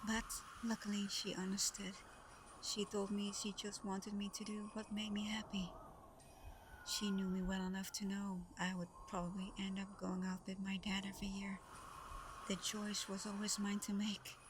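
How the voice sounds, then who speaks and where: A woman acts out Santa's adopted daughter in an ASMR audio roleplay. She is tender and a bit shy, speaking softly and often in a near whisper. Her medium-pitched voice stays fairly flat in melody, with clear ambient noise behind it. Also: moderate pace, close to an everyday voice. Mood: warm, reminiscing. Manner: soft, tender, hesitant, partly whispered. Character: Santa's gentle adopted adult daughter, shy, affectionate sleigh driver. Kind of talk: intimate ASMR roleplay, soft-spoken and breathy. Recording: clearly noisy background ambience.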